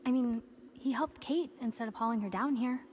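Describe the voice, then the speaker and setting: An actress playing a calm, conciliatory woman surviving in a video game drama. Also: on the high side, fairly quick, slight background noise, natural voice. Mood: hopeful.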